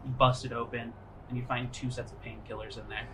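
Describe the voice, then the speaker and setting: A man improvising narration as game master of a tabletop roleplaying game, piecing his descriptions together haltingly. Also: mid-range voice, flat delivery, background noise. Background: music.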